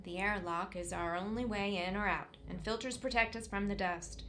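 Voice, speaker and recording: A woman playing an anxious, methodical survivor in a bunker for an audio drama, with a deliberate way of speaking. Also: mid-range voice, a bit bright, slight background noise, lightly acted. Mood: grave, measured.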